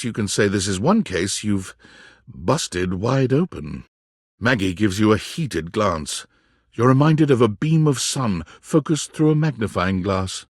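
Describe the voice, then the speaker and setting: A man's voice: medium pitch, no echo, studio quality. A man reading second-person game narration in a wry, storybook manner. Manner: wry, storybook-style delivery.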